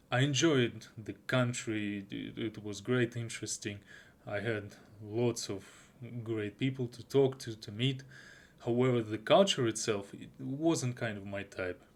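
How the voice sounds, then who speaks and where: A man's voice: medium pitch, fairly even intonation. A young man whose first language is Russian, talking through an unscripted anime review with lots of restarts and fillers. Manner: halting, with frequent restarts and fillers. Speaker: YouTuber.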